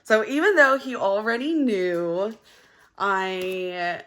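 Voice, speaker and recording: A young woman chatting casually as she counts cash for her budget, her voice a little gravelly and hoarse from just waking up, rambling with lots of fillers. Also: medium pitch, slow pace, fairly bright tone. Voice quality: gravelly early-morning voice. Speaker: budgeting vlogger.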